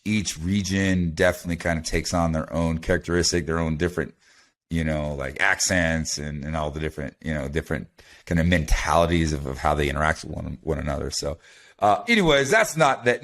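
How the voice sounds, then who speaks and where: Male podcast host from the US; low, chatty, animated, prone to restarts. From United States. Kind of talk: casual interview talk.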